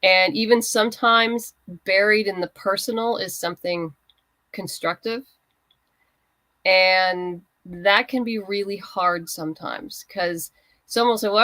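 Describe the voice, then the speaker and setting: A woman's voice: mid-range voice, bright, forceful tone, no room sound, poor audio. A woman writer chatting as an interview guest, candid and conversational, explaining things in loose fragments. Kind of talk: casual interview chat.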